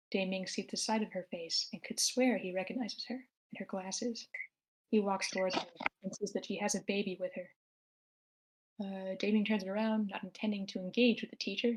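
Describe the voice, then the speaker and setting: A woman's voice: mid-range voice, low-quality recording. A woman reading stage directions as the narrator of a screenplay table read. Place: online meeting, own room.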